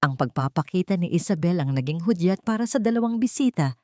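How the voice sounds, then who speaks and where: A woman's voice: lowish voice, expressive, quick pace, warm tone, no room sound, studio quality. A woman narrating a scripted romance story in a dramatic style, as a storyteller.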